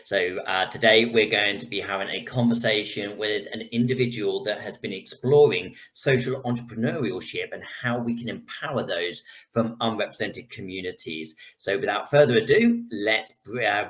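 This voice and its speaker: A man's voice: on the low side, lively intonation, moderate pace, hardly any pauses, fairly bright tone, poor audio. A man hosting a live online interview in a polite, slightly hesitant way. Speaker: host.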